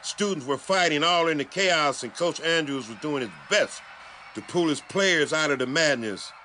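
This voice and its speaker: A man's voice: a little high, normal speed, sharp tone, slight background noise. A man giving a dramatic scripted reading as an audiobook narrator.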